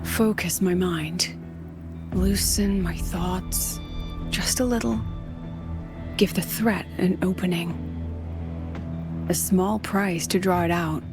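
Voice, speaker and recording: A woman playing a composed, shrewd academy scholar in a video game, speaking in a measured, explanatory way. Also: fairly low pitch, fairly even intonation. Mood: hushed, resolute. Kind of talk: video game dialogue acting. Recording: game music behind. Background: game music.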